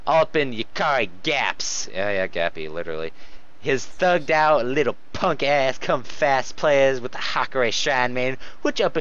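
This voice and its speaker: A man with a mid-pitched voice on a slightly muffled, lo-fi recording. He reads aloud casually for comedy and rambles off into sarcastic, sweary commentary. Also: a bit bright. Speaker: host reading fan fiction aloud with running commentary. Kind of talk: casual comedic read-aloud with mocking asides.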